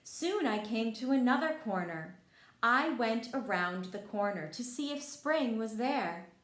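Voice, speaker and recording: A woman voicing a friendly, gentle male frog telling a children's storybook tale in an expressive, reassuring way. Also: on the high side, measured pace, pitched above the performer's own voice. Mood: wistful storytelling. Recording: echoey room.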